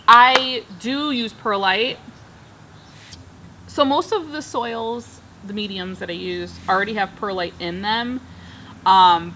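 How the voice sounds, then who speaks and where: A woman in her thirties talks in an upbeat, chatty way while she works on her plants, her voice bright and clear. She is recorded outdoors on a backyard patio by a camera across the table, with faint outdoor noise behind her. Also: mid-range voice. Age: 30s. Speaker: plant vlogger. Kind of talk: casual chat while repotting plants. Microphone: camera framing from across a table.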